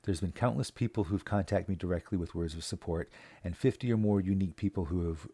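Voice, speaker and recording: A man's voice: low-pitched, fast, dark tone, no echo. A man giving a casual, earnest community update, speaking plainly with many fillers and restarts. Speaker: local community advocate. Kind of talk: casual spoken video update.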